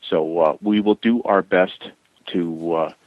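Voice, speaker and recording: Deep-voiced guy, slow careful answers full of uhs, muffled dry phone sound. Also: steady tone, dry recording. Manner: measured, hesitant with many fillers. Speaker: interview guest. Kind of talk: casual phone interview.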